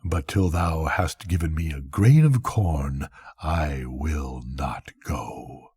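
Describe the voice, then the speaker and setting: An older man voicing a weary, relentless Death in slow, solemn, archaic speech with a husky voice, in a bedtime story. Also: extremely low pitch, darkish tone, no echo, pitched below the performer's own voice, heavily acted. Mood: unyielding.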